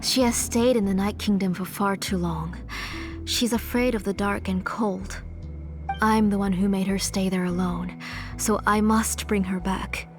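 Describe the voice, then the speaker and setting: A woman's voice: mid-range voice, fairly even intonation, a little slow, natural voice. A woman playing a grieving, obsessed mother in a dramatic video game scene, speaking in a solemn, confessional manner. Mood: resolute sorrow. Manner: solemn, confessional, trailing into hushed breaths. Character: grieving, obsessed mother, soft-spoken and resolute. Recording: game music behind voice.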